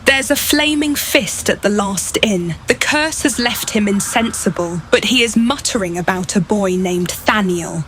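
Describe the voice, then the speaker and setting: An actress playing a composed, cool-headed adventurer in a fantasy game, measured and self-possessed. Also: female voice, mid-range voice, fairly bright tone, slight background noise, lightly acted.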